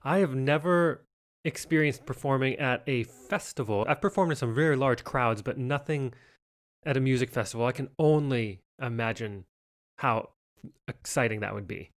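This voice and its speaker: A man's voice: mid-range voice, moderate pace. A man in his thirties hosting a podcast interview, upbeat and encouraging as he asks structured questions. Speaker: podcast host.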